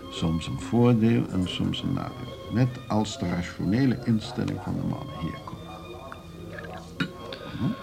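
A man's voice: sits low, a little slow, gravelly, over music, heavily acted. An actor in a radio drama playing a worldly, wistful older man, musing in a condescending way with frequent tag questions. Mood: patronising.

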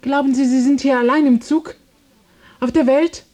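An actress playing an exasperated, bewildered train passenger in a radio drama, querulous and insistent. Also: female voice, high voice, fairly even intonation, moderate pace, dark tone, close to an everyday voice.

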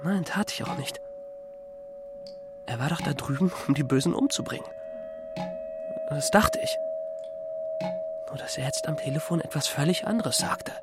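A man's voice: fairly high pitch, moderate pace, pauses often. A young man giving a dramatized first-person audiobook narration in an agitated manner, slipping between muttering and outbursts. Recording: music behind the voice. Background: music.

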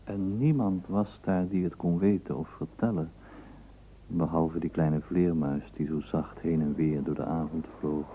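Slow, deep-voiced male narrator. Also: steady tone. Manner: measured, dramatic storytelling. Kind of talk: literary radio play narration. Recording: tinny, narrowband old radio tape, faint hiss.

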